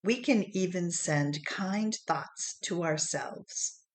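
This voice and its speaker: Woman playing a patient, unhurried schoolteacher. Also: lowish voice, lively intonation. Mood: encouraging. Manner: encouraging, gentle explaining. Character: kind, patient female primary school teacher.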